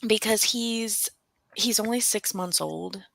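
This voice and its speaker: A middle-aged woman co-hosting a livestream, chatting casually in a halting, personal storytelling way. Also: mid-range voice, vocal fry, a crowd in the background. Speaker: co-host of a book readalong livestream.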